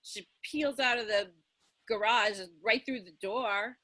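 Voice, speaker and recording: A woman actor casually talking through ideas, telling things in an animated way. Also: on the high side, takes it slowly, no echo. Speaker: improv audio drama actor. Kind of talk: casual creative discussion.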